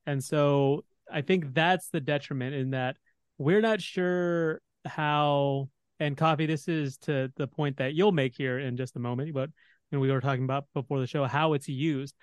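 A man's voice: a little high, steady tone, moderate pace, clear, resonant tone, no room sound. A man from Texas hosting a podcast roundtable, talking in a rambling way with many fillers as he leads the discussion. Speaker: podcast host.

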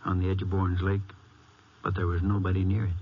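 An actor playing a folksy, matter-of-fact small-town sheriff in a 1950s radio western. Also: male voice, low-pitched, completely flat delivery, fast talker, husky. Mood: businesslike. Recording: muffled, hissy 1950s radio recording.

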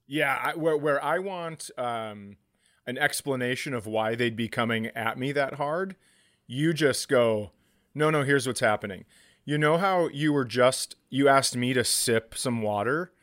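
A man's voice: mid-range voice, unhurried, fairly bright tone, no room sound, studio quality. A man co-hosting a comedy podcast, telling stories casually with crude, exasperated rants. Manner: crude, profane, exasperated ranting.

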